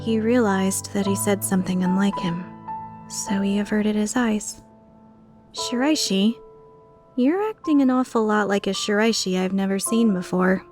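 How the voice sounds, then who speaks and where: A woman playing an earnest, timid, tender-hearted young woman in a dubbed visual novel, speaking in a measured way. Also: mid-range voice, music in the background, lightly acted. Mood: gentle surprise. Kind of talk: dubbed visual novel acting with inner narration.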